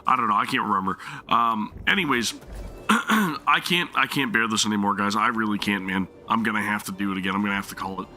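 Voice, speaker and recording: A man's voice: on the low side, fairly quick, bright, forceful tone, soft background music. A man streaming a game live, chatting casually while his sore throat makes him lose his voice, rambling and apologizing often. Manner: apologetic, rambling, many restarts.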